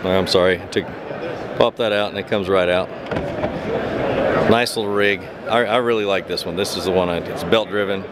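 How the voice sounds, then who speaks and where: A man's voice: low-pitched, steady tone, moderate pace, long pauses. A man in his thirties giving a casual, upbeat walk-and-talk product tour, rambling and restarting his sentences as he goes, recorded on a close camera mic in a large indoor trade show hall. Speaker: fishing vlogger. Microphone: camera mic held close while walking. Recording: loud crowded expo hall background noise. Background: loud crowd chatter of a busy convention floor.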